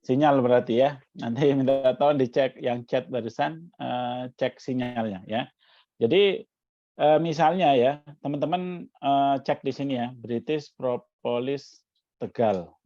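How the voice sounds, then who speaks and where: A man's voice: medium pitch, measured pace, mellow, dry recording, muffled recording. A man from Jakarta coaching a community workshop over a video call, explaining step by step with frequent "ya" tags. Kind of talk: online workshop demo.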